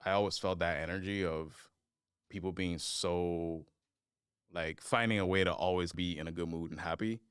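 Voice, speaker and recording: Young guy, podcast guest, very low voice, smooth and crisp, rambles with lots of likes. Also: steady tone, moderate pace, no echo, studio quality. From United States. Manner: rambling, many "like" fillers, trails off quietly. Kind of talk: casual podcast chat.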